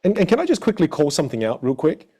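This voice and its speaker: A man with an Australian accent coaching a live online audience in an energetic, encouraging way. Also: on the high side, quick pace, husky. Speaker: communication coach.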